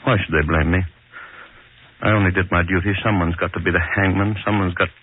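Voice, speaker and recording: A man's voice: low-pitched, fairly even intonation, brisk, husky. An actor playing a tormented middle-aged hangman, brooding and repeating himself in 1940s radio horror style. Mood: despairing, brooding. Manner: brooding, repetitive, melodramatic. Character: tormented middle-aged hangman, bitter, haunted, guilt-ridden. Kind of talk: 1940s radio horror acting, inner monologue. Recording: muffled vintage 1940s radio recording.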